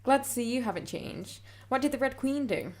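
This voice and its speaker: Woman playing a curious young heroine, fairly high voice, puzzled, questioning, radio drama. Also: expressive, brisk, darkish tone.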